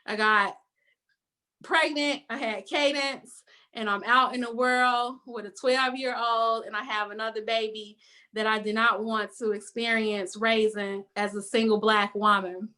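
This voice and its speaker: A woman in her thirties from Chicago's west side with a Chicago accent, sharing her story reflectively on a video call panel, with many "um" pauses. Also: fairly high pitch, fairly even intonation, sharp tone.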